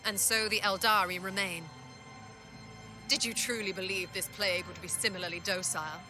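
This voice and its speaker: A woman's voice: mid-range voice, fairly even intonation, moderate pace, natural voice. A woman playing an imperious, driven inquisitor in a video game cutscene, with a haughty, commanding, intense delivery. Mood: grave, scornful. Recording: roomy echo under loud music.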